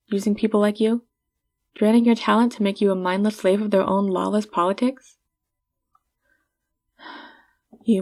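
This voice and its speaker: A woman's voice: medium pitch, darkish tone, natural voice. Haughty, teasing female villain played by a woman. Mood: persuasive, bitter. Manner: condescending, teasing, addresses listener intimately. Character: haughty female supervillain, teasing, secretly caring. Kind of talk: ASMR roleplay acting.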